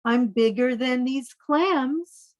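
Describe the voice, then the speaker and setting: A woman voicing a proud, bragging giant squid in a children's picture-book read-aloud, speaking slowly. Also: high-pitched, no room sound, studio quality. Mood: boastful. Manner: slow, bragging delivery.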